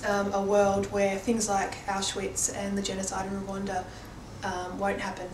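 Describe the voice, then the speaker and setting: A woman giving a personal account in an interview, earnest and measured as a staff member of a rights organisation. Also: medium pitch, completely flat delivery. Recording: echoey, distant mic, noisy background.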